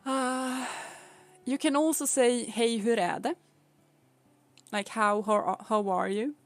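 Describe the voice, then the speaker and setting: A woman's voice: a little high, fairly even intonation, slow, faint music behind. A young woman from Sweden with a Swedish accent, chatting casually with viewers on a live stream, laughing often and explaining things patiently.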